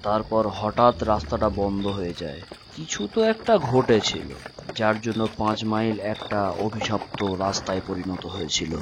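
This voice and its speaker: A man's voice: fairly low pitch, animated, normal speed, background noise, natural voice. A man playing a resolute, secretive leader of ghost hunters in a horror cartoon, speaking with grave authority. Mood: tense, cautioning. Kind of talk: animated horror story dialogue.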